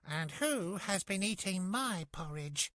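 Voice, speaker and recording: A man's voice: sits high, expressive, slow pace, bright, forceful tone, no room sound, studio quality, pitched above the performer's own voice, full character voice. A man voicing an indignant mother bear in a fairy tale, questioning crossly.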